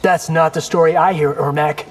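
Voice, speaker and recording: A man's voice: a little high, fairly even intonation, moderate pace, background noise, natural voice. A man playing a young ninja warrior in a fighting game, wry, cocky and casual. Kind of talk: fighting game character acting.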